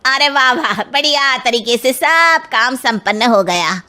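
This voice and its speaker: A woman's voice: sits high, a little slow, sharp tone. A woman voicing a bossy, meddling middle-aged Indian aunt in a family cartoon, with a gushing, overfamiliar manner. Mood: pleased, pushy. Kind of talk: animated family cartoon dialogue.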